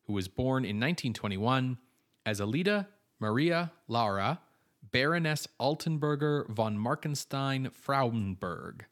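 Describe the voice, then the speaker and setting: A man's voice: medium pitch, lively intonation, a little slow, a bit bright. A man co-hosting a film podcast, reading background notes on cast and crew in an informative way, with casual fillers and asides. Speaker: podcast co-host.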